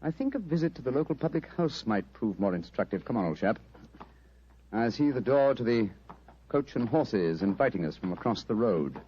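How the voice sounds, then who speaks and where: An actor playing a keen, self-assured English gentleman detective in a radio drama, precise, urbane and lightly amused. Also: mid-range voice, lively intonation, normal speed, lo-fi sound, slight background noise, close to an everyday voice. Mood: confident, genial.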